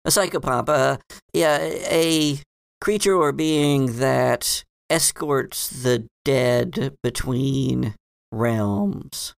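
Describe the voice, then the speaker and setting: A man voicing a scholarly, hesitant female librarian in a tabletop game, speaking in halting, formal, professorial phrases. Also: mid-range voice, gravelly, clean tone, no echo, clean studio sound, voice pushed up. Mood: pondering. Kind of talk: tabletop roleplay in character.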